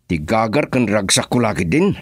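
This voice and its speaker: A man's voice: lowish voice, very animated, normal speed, breathy edge, no echo, clean studio sound. An actor playing a grumpy, hot-tempered man in an Ilocano radio drama. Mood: irritated.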